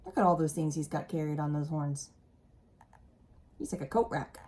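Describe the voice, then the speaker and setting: A woman reading a children's picture book aloud expressively, with casual asides and questions for young listeners. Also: low-pitched. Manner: animated, deliberate, asks the listeners questions.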